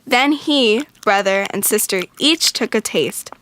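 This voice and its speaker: A woman narrating a children's storybook from a script, expressive and clearly phrased. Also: medium pitch, measured pace, no room sound.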